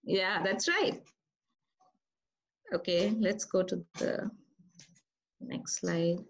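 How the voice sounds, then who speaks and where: A woman's voice: lowish voice, extremely slow, gravelly, dull audio, slight background noise. Woman leading an online writing workshop. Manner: conversational, asks the group questions.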